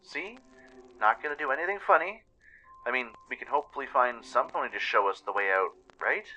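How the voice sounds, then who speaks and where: A man's voice: mid-range voice, expressive, fairly quick, bright, forceful tone, dull audio, voice pushed up. A man voicing a meek, anxious, naively curious young pony in a radio play, with flustered questions and stammers. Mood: friendly, eager.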